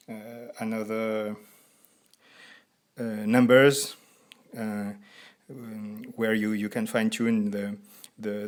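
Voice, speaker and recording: Slow-talking Frenchman, low voice. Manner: halting, deliberately slow speech for learners. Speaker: board game reviewer and host. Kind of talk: explanatory tabletop game review.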